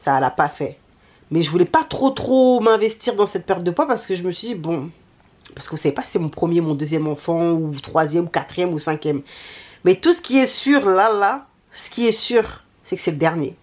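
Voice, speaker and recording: A woman's voice: lowish voice, lively intonation, moderate pace, darkish tone. A young woman with a cold chatting casually to her vlog audience, candid and slangy, grumbling and poking fun at herself. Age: young adult. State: has a cold. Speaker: vlogger.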